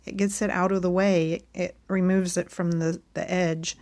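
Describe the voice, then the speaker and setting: A woman's voice: on the low side, level tone, moderate pace, a little mellow. Chatty woman teaching knitting. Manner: chatty and friendly, talks while knitting. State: losing her voice. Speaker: knitting tutorial host. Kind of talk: casual step-by-step tutorial.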